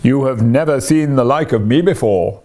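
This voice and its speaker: A man's voice: mid-range voice, normal speed, mellow, natural voice. A man voicing a jolly, hearty giant Christmas spirit from a storybook, cheery and commanding. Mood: proud, genial. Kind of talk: storybook character reading.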